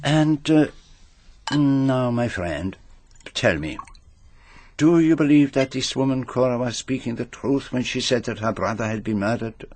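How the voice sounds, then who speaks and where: Belgian french accented detective, polite but probing, slightly husky, dry close sound, radio play. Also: male voice, mid-range voice, animated, darkish tone, no echo. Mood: probing, courteous. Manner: deliberate, questioning, formal. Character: courteous, probing Belgian detective, shrewd and methodical. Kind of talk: radio mystery drama acting.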